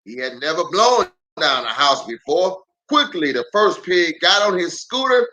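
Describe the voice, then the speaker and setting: A man who is a pastor reading a children's story aloud in an animated, theatrical way with drawn-out emphasis. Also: a little high, low-quality recording.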